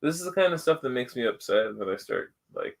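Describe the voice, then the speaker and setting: A man's voice: medium pitch, big pitch swings, quick pace, gravelly. A man with a Canadian accent giving casual, blunt live commentary full of slang and swearing as he hosts a reaction stream.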